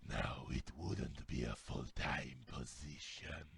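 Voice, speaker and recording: A man playing a deep, gravelly Argonian lizard guild official in a fantasy game, speaking slowly, haltingly and formally. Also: heavily acted. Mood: measured, appraising. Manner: slow, halting, formal delivery. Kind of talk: scripted fantasy video game dialogue.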